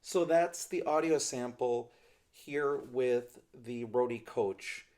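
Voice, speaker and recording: A middle-aged man talks through a gear demonstration at a steady, mid-pitched level. He hedges and qualifies his points as he explains, recorded in a small indoor studio at desk distance. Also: takes it slowly, faint music behind. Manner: thoughtful, hedging, explanatory. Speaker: ukulele gear reviewer. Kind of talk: product review demonstration.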